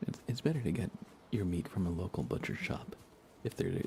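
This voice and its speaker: A man chats casually as he live streams a game for an ASMR audience. His voice is fairly low with plenty of vocal fry, and he keeps it hushed and soft. Clear background noise and ambience sit behind him. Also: big pitch swings, fairly quick. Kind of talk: casual ASMR gaming chat, replying to live viewers.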